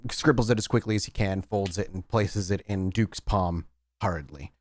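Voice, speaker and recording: A man's voice: low voice, moderate pace. A man narrating a tabletop roleplaying game as its game master.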